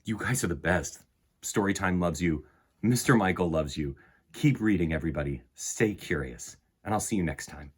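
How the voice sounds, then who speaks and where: A man hosting a children's storytime, reading aloud and talking to young listeners in an earnest, emphatic, deliberate way. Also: deep voice. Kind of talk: expressive storybook read-aloud and talk to children.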